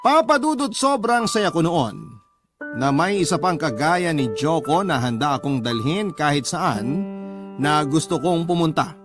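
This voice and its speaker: A man reading a scripted first-person love story as a radio storyteller, with sentimental, measured phrasing. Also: on the high side, big pitch swings. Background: clear background music.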